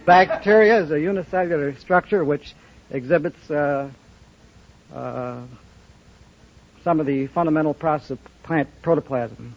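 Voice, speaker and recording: A man answering as a quiz show contestant on old radio, in a halting, earnest, polite way. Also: on the high side, vocal fry. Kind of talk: unscripted quiz show interview. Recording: muffled, hissy old radio recording.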